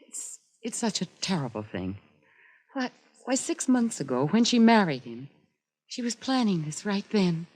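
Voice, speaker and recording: An American actress playing an anxious, high-strung young woman in a 1950s radio drama, speaking in a nervous, halting, earnest way. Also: very animated, darkish tone, low-quality recording. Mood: distressed, earnest.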